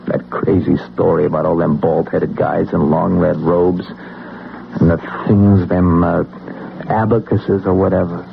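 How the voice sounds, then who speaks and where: An actor playing an anxious, obsessed working-class everyman in a radio drama, with colloquial, halting, stammering speech. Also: sits low, level tone, creaky, heavily acted. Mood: brooding. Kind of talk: old-time radio drama acting. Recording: tinny, noisy old radio broadcast recording.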